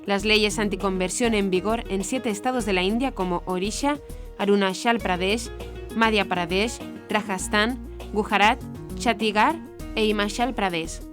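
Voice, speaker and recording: A woman reads scripted news. Her voice sits at an average pitch for a woman, and her delivery is formal and measured. Light music plays behind her. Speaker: news presenter. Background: background music.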